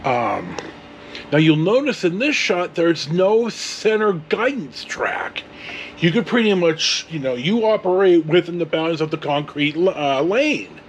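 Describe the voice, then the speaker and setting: A man's voice: fairly high pitch, very animated, a little slow, slight background noise. A man in his sixties with a Californian American accent, telling a casual, meandering story as host, with digressions and mild swearing. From California, United States. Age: 60s. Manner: meandering, digressive, mildly profane.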